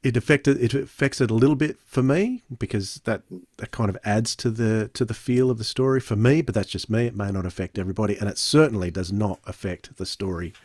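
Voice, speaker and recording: A man's voice: on the low side, fairly even intonation, brisk, hardly any pauses, a little mellow, no echo, clean studio sound. A man hosting a podcast, reviewing casually and often doubling back on his words.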